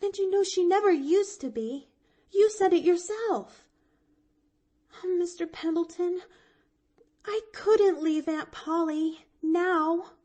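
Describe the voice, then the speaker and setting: A woman's voice: very high voice, takes it slowly, mellow, voice pushed up. A woman reading an audiobook, voicing an earnest little girl who stammers and pleads. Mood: pleading eager. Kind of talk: audiobook character voice.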